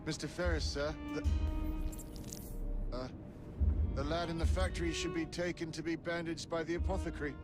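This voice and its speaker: A hesitant, deferential factory foreman, played by a man, speaking in a flat, slightly raised voice. Loud music plays behind this video game cutscene. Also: fairly high pitch, normal speed, long pauses, lightly acted.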